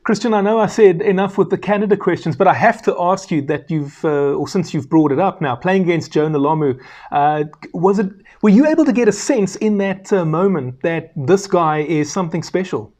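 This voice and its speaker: A man's voice: sits high, normal speed, a little mellow. A man hosting an interview, politely asking prepared questions. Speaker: host, interviewer.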